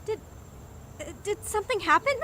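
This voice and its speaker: A woman's voice: very high-pitched, expressive, moderate pace, sharp tone, a little hiss or hum, full character voice. A woman playing a shaken, anxious young survivor in an audio drama, hesitant in her speech. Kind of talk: audio drama acting, anime fan parody.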